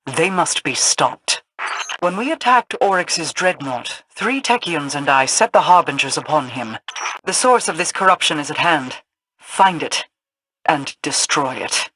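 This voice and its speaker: A woman playing a solemn, proud queen in a video game, speaking formally and imperiously in measured phrases. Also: deep voice, animated, sharp tone, a little hiss or hum, full character voice, low-quality recording. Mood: commanding. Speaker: video game character voice actor. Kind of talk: scripted video game dialogue.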